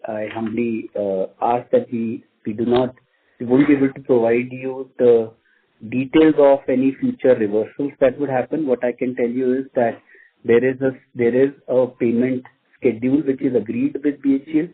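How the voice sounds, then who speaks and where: An Indian-accented man, a finance executive, answering investor questions in a measured, formal way with repeated words. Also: medium pitch. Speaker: company finance executive on an earnings call. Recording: muffled narrow phone-line audio.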